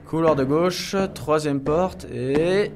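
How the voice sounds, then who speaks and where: A man's voice: on the high side, slow pace, over music. A man playing a sarcastic, nervous clone henchman, rambling colloquially and swearing in a comedy audio drama. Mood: grumbling. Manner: rambling, sardonic, foul-mouthed.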